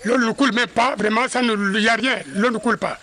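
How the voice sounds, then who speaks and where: A man who is a neighbourhood chief, speaking haltingly with many pauses in an outdoor street interview. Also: very high voice, fairly even intonation, sharp tone.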